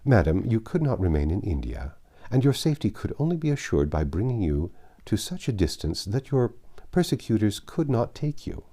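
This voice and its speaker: A man voicing a calm, impassive, formal gentleman in an audiobook of a classic novel, polite and restrained. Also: on the low side, moderate pace, voice pushed up.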